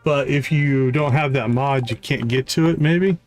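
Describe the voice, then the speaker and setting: A man's voice: medium pitch, moderate pace. Man musing over game music. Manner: musing, talks to viewers and self. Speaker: video game livestreamer. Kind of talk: casual live gameplay commentary, thinking aloud.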